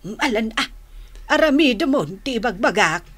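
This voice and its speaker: A woman's voice: a little high, animated, moderate pace, a bit bright. A woman acting in a radio drama as a scheming, coaxing middle-aged wife and mother with an Ilocano accent. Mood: plotting.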